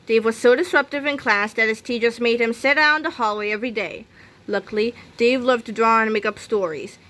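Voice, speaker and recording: A woman's voice: on the high side, fairly bright tone, poor audio. A woman reading a children's book aloud as scripted narration. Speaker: storybook reader.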